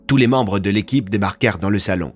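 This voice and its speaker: A man's voice: fairly low pitch, fast talker, faint music behind. A man narrating a serialised audio fiction in a scripted, dramatic storytelling style.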